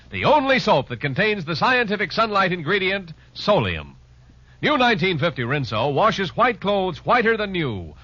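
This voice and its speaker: A male announcer delivers an emphatic vintage radio sales pitch. His voice is high, lively and forceful, with a husky edge. The old recording is dull and band-limited. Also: dry recording. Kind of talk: 1950s radio advertising pitch. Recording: muffled old radio broadcast.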